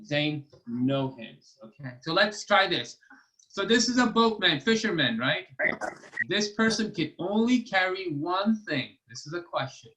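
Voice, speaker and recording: A man's voice: high-pitched, very animated, a little slow, bright, forceful tone, rough recording. Patient man leading kids through a puzzle. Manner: patient, encouraging, explains step by step. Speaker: children's storytime host. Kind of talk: casual teaching to children.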